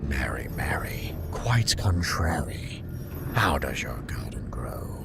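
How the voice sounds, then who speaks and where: Loud music, then an unhinged villain doctor: very low, slow, sinister and theatrical, for audio drama. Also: male voice, very animated, creaky, in a whisper, exaggerated voice. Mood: taunting, eerie. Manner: theatrical, sinister, sing-song nursery rhyme delivery. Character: deranged, menacing male doctor turned Scarecrow cultist.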